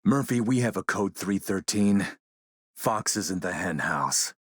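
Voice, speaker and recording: A man playing a shrewd, menacing militia boss and smooth-talking dealmaker in a video game, gravelly, measured, self-assured and wry. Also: low-pitched, expressive, no echo, clean studio sound. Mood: alert. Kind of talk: video game character dialogue.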